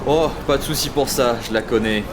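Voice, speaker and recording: A man's voice: medium pitch, fairly quick, background noise, close to an everyday voice. A man playing a confident crew boss who briefs his team casually in a sci-fi audio drama. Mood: breezy, assured.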